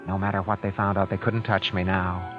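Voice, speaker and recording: An actor playing a cold, self-satisfied murderer in a vintage radio drama, with a clipped, smug, impatient delivery. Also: male voice, sits low, level tone, brisk, lightly acted. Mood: smug, brooding. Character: cold, self-satisfied man who got away with murder. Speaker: actor narrating in first person as the lead. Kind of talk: 1940s-50s radio acting. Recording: dull vintage radio recording with background music.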